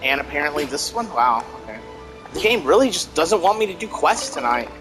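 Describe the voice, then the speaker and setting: A man with a fairly high, animated voice commentates on his own video game play. He is casual and wry, often sounding mock-exasperated. Faint game music sits behind him. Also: fairly quick. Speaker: gaming let's player.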